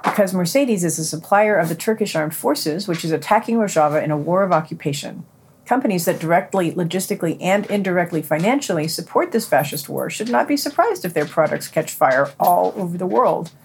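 A woman presenting a podcast, reading scripted news and quotations in a deliberate way with a husky voice. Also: lowish voice, expressive, a little hiss or hum. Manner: deliberate, announces quotations and their ends.

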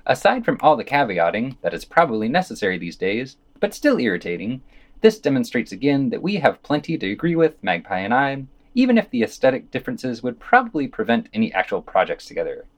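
A man's voice: mid-range voice, wildly swooping pitch, brisk. A man hosting a podcast, reading scripted news commentary and quotations with dry, opinionated asides.

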